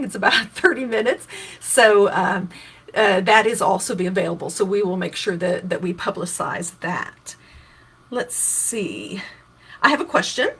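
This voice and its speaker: A woman in her fifties from Kentucky talks straight to her viewers in a casual livestream, walking them through practical details. Her voice is husky and sits at a middle pitch, and she often restarts a phrase or adds a filler as she goes. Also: fairly bright tone. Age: 50s. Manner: conversational, with many restarts and fillers, answering viewer questions. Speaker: political candidate hosting her own livestream. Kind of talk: casual explanatory livestream talk.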